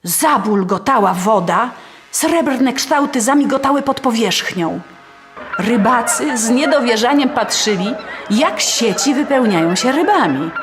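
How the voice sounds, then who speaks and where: A woman's voice: fairly high pitch, animated, unhurried. A woman narrating a scripted fairy tale as a storyteller. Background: faint music.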